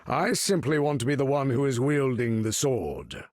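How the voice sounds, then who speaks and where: An actor voicing an imperious, weary older mutant leader in a video game, with grandiose, formal, commanding speech and a gravelly tone. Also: male voice, medium pitch, normal speed, darkish tone, dry recording, studio quality, natural voice. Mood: grave, resolute. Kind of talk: video game character voice lines.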